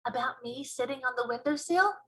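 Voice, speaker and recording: A woman voicing a small young girl in a storybook, earnest and plucky, with a soft, polite, questioning way of talking. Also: sits high, brisk, slightly rough, pitched above the performer's own voice. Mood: anxious, questioning.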